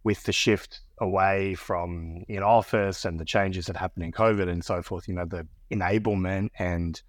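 A man's voice: sits low, fairly even intonation, moderate pace, no room sound. An Australian man speaking as a podcast guest in casual interview talk, often repeating words as he finds his phrasing. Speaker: podcast guest, business executive. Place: Austin, Texas (based).

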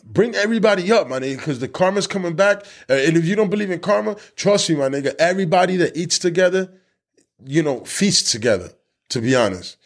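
A man in his thirties hosts a casual podcast chat, his voice riding high and swinging widely in pitch. He talks in loose, profane street slang, stumbling over restarts. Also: animated, fast. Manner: loose, swearing street talk with many restarts. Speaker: podcast host.

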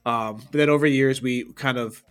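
A young man co-hosting a podcast in relaxed chat, with a deep voice, easygoing and quick to laugh. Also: clear, resonant tone, soft background music. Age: young adult. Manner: easygoing, laughs a lot, rambling with fillers. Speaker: podcast co-host. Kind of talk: casual chat.

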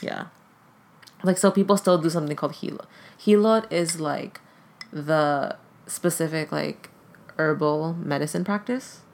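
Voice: mid-range voice, unhurried, gravelly. A podcast guest whose first language is Tagalog, talking casually in a hesitant way and filling nearly every phrase with "like.". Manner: hesitant, heavy use of "like" fillers. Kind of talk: casual conversation.

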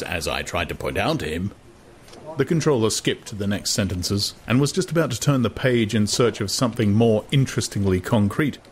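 A man narrating a literary novel as a dramatized audiobook. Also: lowish voice. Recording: clear background noise.

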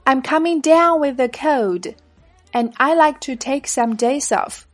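A woman's voice: high-pitched, a little slow, no room sound. Woman reading dialogue speaker A. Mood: plain. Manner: plain, careful read-aloud of lesson lines. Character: everyday adult speaker A in a practice dialogue. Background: faint background music.

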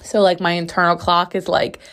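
A young woman talks through her solo podcast in a loose, chatty ramble, peppered with "like." Her voice is slightly raspy as it recovers after being lost. She records from her bathroom at home. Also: on the low side, flat delivery, brisk, a bit bright. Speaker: podcast host. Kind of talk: casual solo podcast chat.

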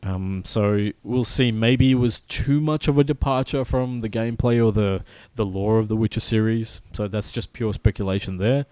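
A man co-hosting a gaming news podcast, reading out stories in a casual, halting way with many fillers and restarts. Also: fairly low pitch, a little mellow, clear, resonant tone, dry recording. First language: not Polish. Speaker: podcast co-host.

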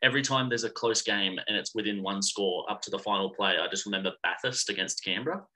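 A man's voice: lowish voice, fast talker, pushed and bright, low-quality recording. A young man talking on a podcast, earnest, his sentences tumbling over each other. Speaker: podcast guest, sports fan. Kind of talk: casual chat.

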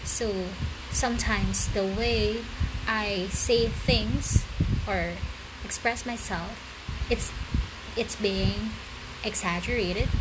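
A woman with as her chatting casually with her listeners about her story, self-conscious and rambling, with many restarts. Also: mid-range voice. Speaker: amateur story writer and reader. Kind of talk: casual late-night chat to listeners. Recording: loud background noise.

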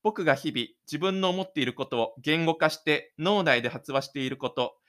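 A man's voice: on the high side, moderate pace, fairly bright tone, lightly acted. A man playing a lonely, stubborn teenage schoolboy's inner monologue in an amateur radio drama. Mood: brooding. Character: shy, stubborn, lonely teenage high school boy, brooding inwardly.

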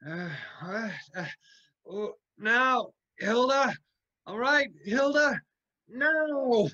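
A man's voice: very high voice, very slow, sharp tone, full character voice. Man acting wisecracking radio-drama lawyer, dull muffled recording. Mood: dazed, flustered. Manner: wisecracking, stammering when rattled. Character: quick-witted young lawyer, wisecracking, easily flustered.

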